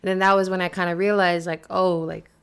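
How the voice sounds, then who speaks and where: A woman's voice: lowish voice, flat delivery, brisk, vocal fry, crowd noise. A young woman from Boston, a singer being interviewed, talking in a chatty, rambling way with lots of "like". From Boston, USA. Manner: chatty and rambling, often saying "like" and laughing. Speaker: guest singer. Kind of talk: casual interview.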